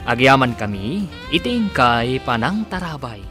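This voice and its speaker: A man's voice: medium pitch, big pitch swings, unhurried. A man narrating and announcing a radio drama serial in a dramatic, scripted style. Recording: music behind.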